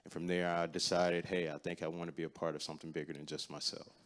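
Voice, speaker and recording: A man's voice: low-pitched, flat delivery, quick pace. A man giving a hesitant self-introduction as an incoming student, with restarts and fillers. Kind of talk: short self-introduction at a ceremony.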